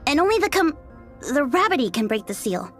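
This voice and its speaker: A woman's voice: sits high, big pitch swings, fairly quick. An actress playing a sassy, playful young woman soldier in a video game. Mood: playful explaining. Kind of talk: English video game dub acting. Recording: music behind the voice.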